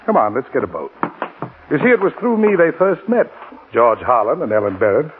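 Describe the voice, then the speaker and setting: Actor as a genial lawyer on tinny old radio. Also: male voice, medium pitch, very animated, fairly quick, background noise, close to an everyday voice. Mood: candid, confiding. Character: genial, candid middle-aged lawyer and ranch host narrating the past. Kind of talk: 1940s radio acting. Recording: tinny, band-limited 1940s radio broadcast, music.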